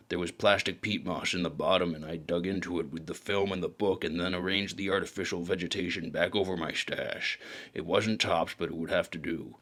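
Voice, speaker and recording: An actor playing a weary, brooding hard-boiled detective, narrating a scripted noir audio drama in a deliberate, gravelly voice. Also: male voice, low-pitched, sharp tone, exaggerated voice.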